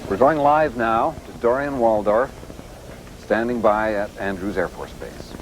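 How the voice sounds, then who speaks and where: An actor as a male network anchor in a 1980s crisis drama, with a very low voice. He speaks solemnly and haltingly, weary but composed, over noisy old broadcast audio. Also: lightly acted. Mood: tense, urgent. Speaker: TV news anchor. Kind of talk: 1980s TV breaking-news anchoring.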